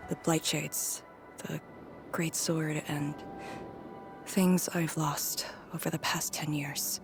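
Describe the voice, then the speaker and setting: An actress voicing a melancholy, quietly resolute young woman in a game, breathy, subdued and reflective. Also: deep voice, level tone, takes it slowly, in a whisper. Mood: wistful, reflective. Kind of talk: scripted game voice acting. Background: prominent music.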